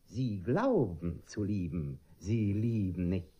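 An actor playing a pompous, self-satisfied philosopher tutor in a comic radio play, lecturing in an oily, pedantic manner. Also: male voice, low voice, animated, slow pace, mellow, slightly rough, exaggerated voice. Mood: triumphant, pedantic. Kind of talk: German radio play comedy acting. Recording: muffled radio drama recording.

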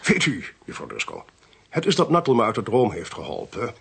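An actor playing a drily witty, inquisitive gentleman investigator in a Dutch radio drama, with a theatrical, deliberate delivery. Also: fairly low pitch, wildly swooping pitch, creaky, slightly rough, full character voice. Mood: curious, wry.

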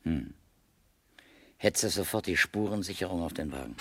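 An actor playing a measured, sceptical senior police detective in a radio crime drama from the 1970s. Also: male voice, low voice, lively intonation, pushed and bright, exaggerated voice. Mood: measured, probing.

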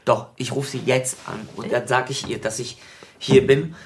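Halting, distraught man played by an actor. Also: medium pitch, fairly even intonation, slight background noise, lightly acted. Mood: rambling, distraught. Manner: halting, stammering, repeating himself. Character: distraught, naked, guilt-ridden father in a hotel room. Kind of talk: radio play acting.

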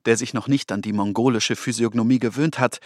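A man's voice: medium pitch, steady tone, brisk, darkish tone, no room sound, studio quality, lightly acted. An actor playing a disdainful nineteenth-century traveller reading from his written account.

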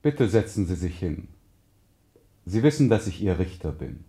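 An actor playing a stern, rigid judge who speaks in pompous formulas in an experimental radio play. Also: male voice, low voice, very animated, normal speed, warm tone. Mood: formal, stern.

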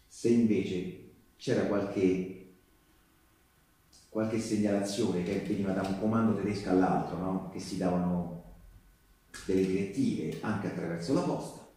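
A distant, heavily echoing theatre recording of an earnest wartime partisan. His low, mellow voice confides in the listener as a stage monologue, halting and repeating himself conversationally. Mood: confiding, deliberate. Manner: conversational, halting, with rhetorical tags and repetitions. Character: earnest male Resistance partisan postal worker recounting wartime sabotage. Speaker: stage actor. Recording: echoey live theatre, distant microphone.

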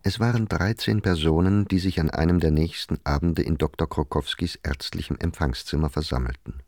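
A man's voice: very deep voice, a little fast, darkish tone, dry recording. A man narrating a literary radio drama.

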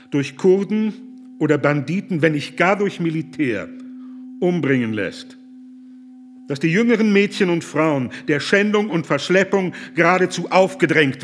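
An actor playing an earnest German humanitarian in a radio play, pressing his accusations in a pointed, indignant way. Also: mid-range voice.

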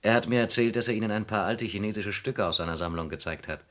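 A man plays a detective-like insurance investigator in a radio crime drama. His voice sits fairly low, and he questions politely, deliberately and persistently. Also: close to an everyday voice. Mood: courteous, probing. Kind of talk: German radio crime play acting.

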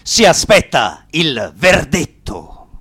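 A man's voice: fairly high pitch, sing-song, slow, pushed and bright, faint music behind, full character voice. A man playing a fervent anti-mafia activist in a theatrical, mocking radio monologue, emphatic and dramatic. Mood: mocking, mock-solemn. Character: fervent satirical male anti-mafia activist telling his story.

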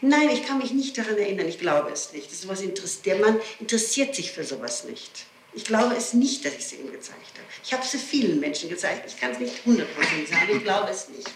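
A woman's voice: medium pitch, sing-song, brisk, few pauses, far from the mic. Woman answering questions on old tape. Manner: defensive, hesitant, many restarts. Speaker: suspect under questioning. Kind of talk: police interrogation. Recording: roomy archival tape with hiss.

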